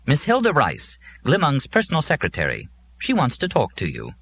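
A man's voice: medium pitch, wildly swooping pitch, normal speed, creaky, a bit bright, breathy edge, voice pushed up, exaggerated voice. Man voicing a gloomy, formal robot servant. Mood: formal, obliging. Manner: formal, ominous, matter-of-fact pronouncements. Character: dour, scornful, foreboding robot servant with gloomy conviction. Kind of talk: acted dialogue in a science fiction audiobook.

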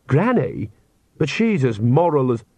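A man's voice: mid-range voice, big pitch swings, unhurried, warm tone, no room sound, muffled recording. A man voicing an earnest young witch woman with a plain, sincere, questioning manner. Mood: curious.

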